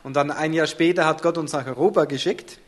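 A man's voice: a little high, steady tone, a little fast. A man interpreting a sermon live, turning each short phrase into a fuller sentence right after the speaker.

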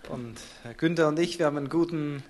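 A man's voice: a little high, quick pace. A man interpreting a sermon live, turning each short phrase into a fuller sentence right after the speaker.

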